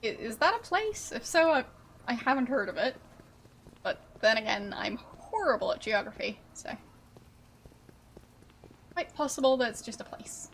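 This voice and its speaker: A woman streamer chatting casually with her viewers, relaxed and amused as she answers their guesses. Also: on the high side, normal speed, long pauses, slight background noise, rough recording.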